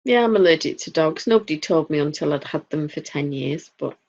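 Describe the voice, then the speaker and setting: A woman's voice: low voice, expressive, a little fast, gravelly, a little mellow. A woman with a Northern English accent co-hosting a casual chat over a video call, rambling and dry-humored. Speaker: co-host. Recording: dull lo-fi audio.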